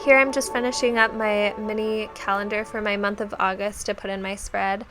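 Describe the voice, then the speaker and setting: A woman's voice: medium pitch, little pitch movement, normal speed, music in the background. A young woman who sounds nasal as she gets over a cold, chatting casually with many ums and likes as she narrates her journal spread. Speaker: bullet journal vlogger.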